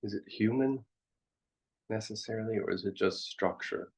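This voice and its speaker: A man leading a guided meditation as a teacher, contemplative, speaking in short halting phrases with long pauses, on a dull, muffled recording. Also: lowish voice, slightly rough.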